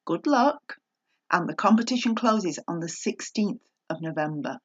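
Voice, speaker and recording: A woman's voice: fairly low pitch, animated, normal speed, dull audio, loud music behind the voice. A middle-aged woman hosting a podcast, speaking in a chatty presenting style. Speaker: podcast host.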